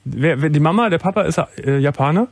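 A middle-aged man hosting a late-night radio call-in, asking gentle, probing questions with soft affirmations. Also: on the high side, animated, fairly quick, dry recording. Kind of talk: calm empathetic phone-in talk. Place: radio studio. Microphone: studio mic, wearing headphones.

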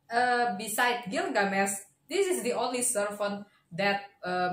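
A young woman giving casual, expressive fan commentary as host of a reaction video, speaking close to a desk microphone indoors. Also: mid-range voice, slight background noise. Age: young adult. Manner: expressive, excitable fan talk. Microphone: desk microphone on arm, close. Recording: roomy sound with faint music behind.

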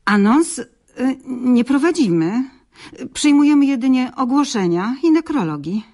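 An actress playing a prim, brisk, officious newspaper clerk in a radio drama, rattling off set phrases. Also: female voice, high-pitched, sing-song, mellow, heavily acted.

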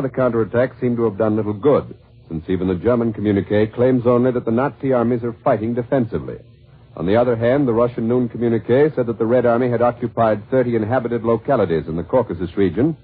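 Husky American newsman on old narrow-band radio. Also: mid-range voice. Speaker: radio news anchor. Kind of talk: 1940s radio newscast, scripted. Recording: narrow, rough 1940s radio broadcast.

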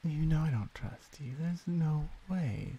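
A woman voicing a wary, protective orc huntress in an ASMR roleplay, soft and blunt with an unhurried delivery. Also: sits low, breathy edge, muffled recording. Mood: skeptical.

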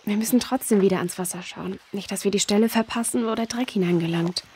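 A woman playing a calm, firm and soothing guide in a children's audio drama. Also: mid-range voice, quick pace, slight background noise, lightly acted. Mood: practical. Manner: soothing, composed.

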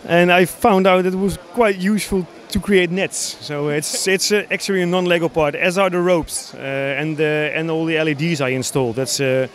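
Man, thirties, Dutch accent, fairly high voice, hesitant, casual interview explanation. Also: steady tone, moderate pace, few pauses. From Netherlands. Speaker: hobby model builder, interviewee. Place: large busy exhibition hall, indoors. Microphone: handheld interview microphone.

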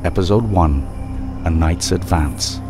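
A man's voice: low-pitched, a little slow, loud music behind the voice. A man delivering a scripted, dramatic introduction as an announcer.

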